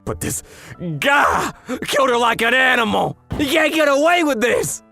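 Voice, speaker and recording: A man's voice: extremely high pitch, expressive, moderate pace, gravelly, pushed and bright, raised voice, faint music behind, heavily acted. A man playing a desperate, grieving fiancé in a manga drama, pleading and impassioned for vengeance. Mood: anguished, pleading.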